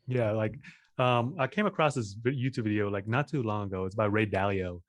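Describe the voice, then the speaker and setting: A man's voice: on the low side, a little fast, no echo, clean studio sound. A man hosting a personal finance interview, asking questions in a casual way with many fillers. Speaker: host.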